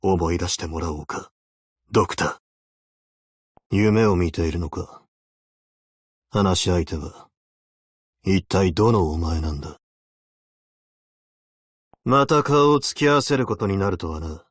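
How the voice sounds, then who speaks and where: A man's voice: low-pitched, lively intonation, unhurried, long pauses, husky, no echo, studio quality, exaggerated voice. A man voicing a stern, weary veteran abyssal hunter in a video game, speaking in a terse, solemn, commanding way. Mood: brooding, probing, then cold, stern. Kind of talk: Japanese video game character voice lines.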